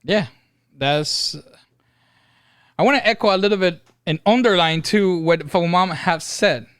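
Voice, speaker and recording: A middle-aged father speaks earnestly and thoughtfully on his family's podcast, pausing on fillers as he works out his words. His voice is smooth and a little high for a man. The sound is dry and close to the mic. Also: a bit bright, no room sound. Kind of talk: casual family podcast talk. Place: home room. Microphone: studio mic on boom, headset.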